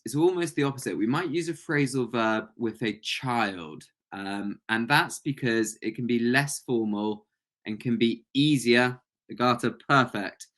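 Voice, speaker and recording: A man's voice: mid-range voice, a bit bright. A man and English speaker teaching an online language lesson, explaining points and prompting students with encouragement. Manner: encouraging, praising students' answers. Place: online webinar call.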